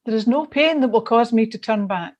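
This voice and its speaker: A woman's voice: fairly high pitch, brisk, darkish tone. A woman reading a scripted church devotional in an emphatic, earnest manner.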